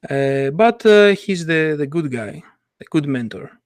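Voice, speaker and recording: An eager man with chats about stories in a fairly high voice. He stumbles and restarts often as his ideas race ahead. The recording is dry and close. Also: lively intonation, clear, resonant tone, no room sound. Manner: enthusiastic, halting, many restarts and laughs. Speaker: comic artist. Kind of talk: casual podcast chat.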